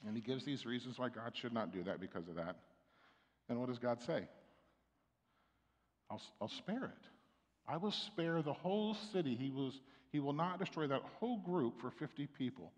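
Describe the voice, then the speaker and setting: A man's voice: medium pitch, animated, normal speed. A middle-aged man preaching a sermon from a church pulpit, speaking conversationally with frequent restarts and fillers. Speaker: pastor. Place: church sanctuary. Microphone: stand mic at pulpit, far camera. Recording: long church reverb.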